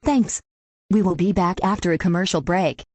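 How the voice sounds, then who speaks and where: A woman's voice: on the low side, normal speed, a little mellow, no echo, natural voice. A woman playing a composed local TV news anchor, reading a scripted newscast.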